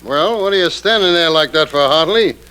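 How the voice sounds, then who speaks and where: A man's voice: fairly high pitch, lively intonation, a little fast, bright, forceful tone, smooth. An actor playing a brusque, cynical backstage theatre man in a 1940s radio drama, curt and sardonic, on a noisy old recording. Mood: sardonic, impatient.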